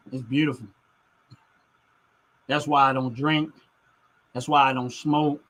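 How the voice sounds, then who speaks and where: A man's voice: mid-range voice, a bit bright. A middle-aged man hosting a livestream, talking casually and with strong opinions, emphatic and repeating phrases to drive them home. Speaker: livestream host. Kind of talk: casual opinionated livestream talk, responding to chat.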